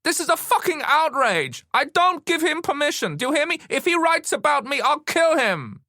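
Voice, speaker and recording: A man voicing a furious, haughty Japanese-American woman writer, high-pitched, indignant and demanding, in an audiobook story. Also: sing-song, normal speed, bright, forceful tone, dry recording, clean studio sound, full character voice. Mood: furious outrage.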